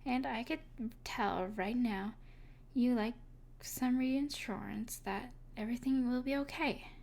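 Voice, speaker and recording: A woman playing an evil sorceress, possessive and tenderly devoted, speaking softly and deliberately in an intimate ASMR roleplay. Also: a little high, creaky. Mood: reassuring. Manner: soft, deliberate, soothing yet possessive.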